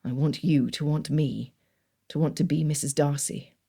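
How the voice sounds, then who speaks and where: Earnest young gentleman suitor, voiced by a woman, hushed, low, mellow, audiobook. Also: steady tone, normal speed, creaky, breathy edge, full character voice.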